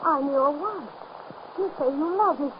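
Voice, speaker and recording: A suspicious young wife in an old radio horror drama, with a high, earnest voice that presses her questions theatrically. The recording is dull and thick with noise. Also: measured pace. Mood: insistent, suspicious. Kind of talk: 1930s radio horror acting.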